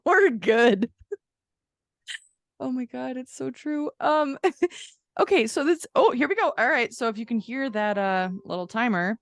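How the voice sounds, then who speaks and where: A woman's voice: high-pitched, expressive, a little slow, long pauses, dry recording, studio quality. A woman co-hosting a podcast in casual chat with a friend, gushing and affirming, rambling in a giggly, self-deprecating way. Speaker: podcast co-host.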